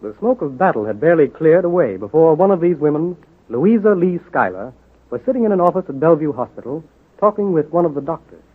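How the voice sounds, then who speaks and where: Casting: male narrator, somewhat high voice, dramatic old-time radio delivery, tinny recording. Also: sing-song, fast talker. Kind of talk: dramatic scripted radio narration, 1930s-40s.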